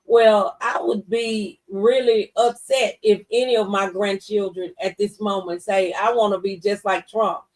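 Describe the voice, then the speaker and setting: A woman's voice: mid-range voice, steady tone, unhurried, few pauses, a little mellow, recorded from a distance, poor audio. A mature woman in a faith-based conversation, speaking earnestly and a little like a preacher, repeating phrases for emphasis. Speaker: talk show guest.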